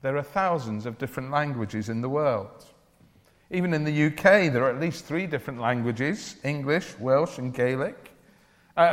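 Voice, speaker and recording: A man preaching a church sermon in an earnest, deliberate way, with declarative phrasing. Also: a little high. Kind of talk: evangelical church sermon with Bible reading and prayer. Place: large church or hall, speaking to a congregation. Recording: reverberant hall, long echo.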